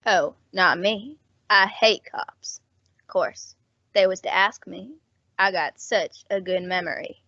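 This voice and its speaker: A woman's voice: mid-range voice, slow, pushed and bright, dry recording. A woman playing a sly, brash, grasping rooming-house landlady with slangy talk in an old-time radio drama. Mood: sly, insinuating. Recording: dull, dry lo-fi audio.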